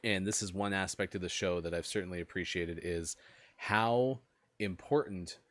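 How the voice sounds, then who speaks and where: A man's voice: low voice, normal speed, a bit bright, dry recording. A man hosting a live web show, thanking guests and making announcements in an upbeat, friendly way with frequent uhs. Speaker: host.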